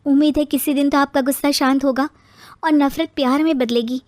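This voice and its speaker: A woman voicing a patient, gentle young daughter-in-law in a moral story, speaking earnestly and respectfully. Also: sits high, steady tone, fairly quick, no echo, natural voice. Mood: pleading. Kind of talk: acted moral story dialogue.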